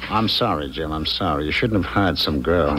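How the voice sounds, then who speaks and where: A man's voice: very deep voice, moderate pace, sharp tone. An American actor in a 1970s radio drama playing a paranoid, coldly menacing businessman with pointed, insistent questioning. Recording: noisy 1970s radio broadcast recording.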